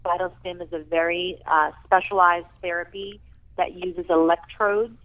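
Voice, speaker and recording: A woman's voice: lowish voice, steady tone, moderate pace. A woman guest on a radio interview, talking about her work with earnest enthusiasm and frequent ums. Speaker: rehabilitation director, guest. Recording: tinny phone line.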